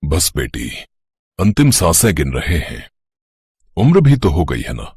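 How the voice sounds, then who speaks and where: A gentle tired father. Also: rumbling low, wildly swooping pitch, measured pace, breathy edge, no room sound, heavily acted. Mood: kindly.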